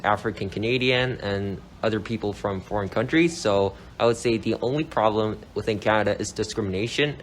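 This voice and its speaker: A man whose first language is Korean, giving steady, direct interview answers in English with small grammar slips. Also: on the low side, slight background noise.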